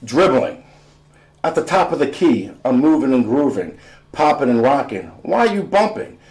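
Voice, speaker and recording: A man's voice: medium pitch, big pitch swings, moderate pace, a little mellow, rough recording. An American man voicing a cocky teenage boy basketball player in punchy, rhythmic verse from a storybook. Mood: boastful. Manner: rhythmic, punchy rhyming delivery.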